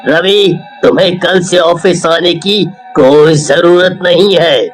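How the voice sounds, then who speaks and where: An actor playing a stern, haughty boss coldly firing an employee in a cartoon story. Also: male voice, high-pitched, fairly even intonation, faint music behind. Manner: cold, dismissive, slow.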